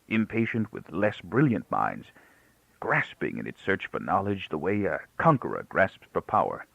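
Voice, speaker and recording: Male narrator as grieving elderly rabbi: grave, halting, creaky, widely swelling and falling delivery. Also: lowish voice, no room sound, narrow, tinny audio, full character voice. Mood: sorrowful, grave. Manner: quiet, solemn, halting speech with emphatic repetition.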